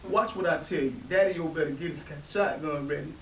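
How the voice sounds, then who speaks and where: A brash, slangy young man with a fairly high voice and a protective streak, acted by a man in a stage play reading. He is heard from a distance in an echoing room, with faint hiss behind him. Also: fairly quick, lightly acted. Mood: excited, proud. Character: brash, street-tough, protective young brother.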